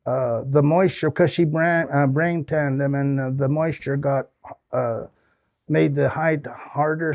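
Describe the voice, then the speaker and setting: Older man, gravelly, slightly high voice, dry close sound, rambling storyteller, from the US Pacific Northwest. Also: darkish tone, no echo, dull audio. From Pacific Northwest, United States. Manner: rambling, folksy, with restarts. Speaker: guest speaker. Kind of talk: storytelling talk with audience questions. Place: small hall with audience.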